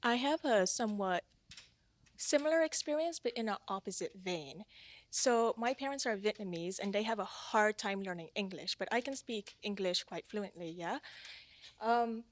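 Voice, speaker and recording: A young woman hosting a youth podcast, asking questions and explaining terms in a clear, friendly way. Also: medium pitch, lively intonation, normal speed. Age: young adult. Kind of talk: casual group discussion.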